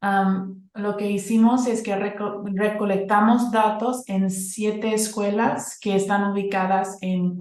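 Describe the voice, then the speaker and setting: Slow, hesitant non-native woman presenter. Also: medium pitch, a little mellow, reverberant. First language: not Spanish. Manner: hesitant, many fillers and restarts. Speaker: academic presenter. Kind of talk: conference presentation.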